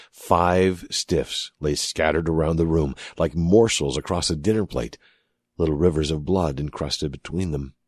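A male narrator reads dramatic fiction in an extremely deep voice with a husky grain. His phrasing is measured and deliberate, and the sound is dry and close. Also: darkish tone, no echo. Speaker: audiobook narrator.

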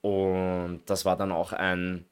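A man's voice: low-pitched, monotone, slow, smooth. A man in his late twenties from Vienna with a Viennese accent, chatting casually as an interview guest. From Vienna, Austria. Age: late 20s. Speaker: American football player, interview guest. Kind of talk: casual chat.

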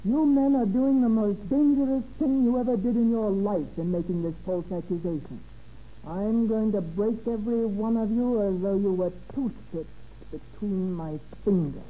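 An actress playing a haughty, defiant murder suspect in a 1930s radio crime drama, indignant and imperious, on an old lo-fi recording. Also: female voice, mid-range voice, moderate pace, close to an everyday voice. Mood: cold, threatening. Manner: indignant, imperious, clipped denials.

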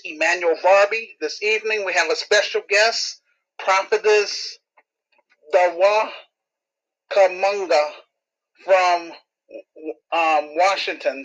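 High-voiced male podcast host. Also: measured pace, a bit bright, lo-fi sound. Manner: hesitant, with fillers. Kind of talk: introducing a guest.

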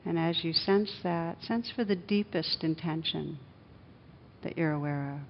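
Female meditation guide, fairly low register, calm instructive phrasing with pauses, faint rumbly noise behind a lo-fi capture. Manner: soothing, measured, with short phrases and pauses. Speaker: meditation teacher leading a group. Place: a room with a live group. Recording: dull lo-fi recording, clear background rumble noise.

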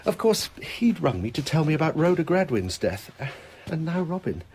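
A man's voice: on the high side, very animated, moderate pace, a little mellow, slight background noise. An actor playing an agitated, insistent man in his thirties with a British accent, in a radio drama. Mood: frantic. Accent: British English. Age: 30s. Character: distraught, emphatic well-dressed man in his thirties.

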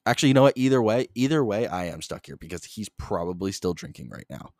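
A young man hosting a solo podcast, rambling in a flat monotone, swearing constantly and jumping between topics, close to the mic. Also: lowish voice, fast talker, no room sound. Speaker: podcast host.

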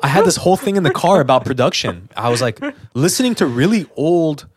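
A man's voice: on the high side, animated, brisk, slightly rough, no echo, studio quality. A man co-hosting a podcast, chatting casually and earnestly, informal and given to repeating himself for emphasis. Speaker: podcast co-host.

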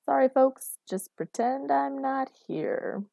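A woman's voice: mid-range voice, very animated, moderate pace, dark tone, no room sound, highs cut off. A woman voicing an irreverent, teasing woman lodger with a flippant, amused manner in audiobook dialogue.

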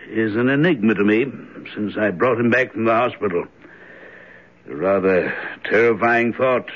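An actor playing an earnest, worried elderly minister and stern father in an old-time radio drama, speaking gravely. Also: fairly low pitch. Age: 60s. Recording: dull, band-limited old radio recording.

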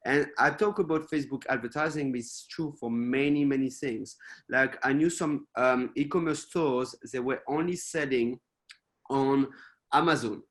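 Male guest speaker, French accent, hesitant webinar delivery over a call mic. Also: mid-range voice. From France. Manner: halting, many fillers and restarts. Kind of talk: presenting to a webinar. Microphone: video call.

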